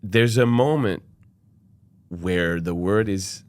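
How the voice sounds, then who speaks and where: A man, an opera singer and podcast guest, chatting casually and telling lively stories, often laughing and mimicking other people. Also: low voice, takes it slowly, no echo. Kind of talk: casual chat.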